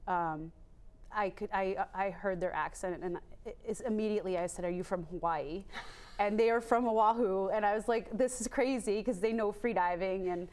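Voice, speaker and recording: A woman's voice: medium pitch, normal speed, darkish tone. A woman from Maine, a free diver, telling her story in a casual interview, chatty and rambling with many fillers. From Maine, USA.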